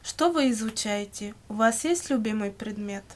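A woman reading scripted language-course questions and answers in a measured, carefully enunciated way. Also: mid-range voice, animated. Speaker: language lesson reader.

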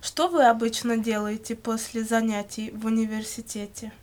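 A woman reading scripted language-course questions and answers in a measured, carefully enunciated way. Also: on the high side, flat delivery, a little mellow. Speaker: language lesson reader.

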